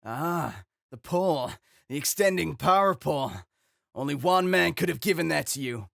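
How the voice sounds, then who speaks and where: A man's voice: on the high side, expressive, normal speed, gravelly, a bit bright, no room sound, clean studio sound, voice pushed up. A man voicing a cocky young bandit in melodramatic old anime dub style. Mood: threatening.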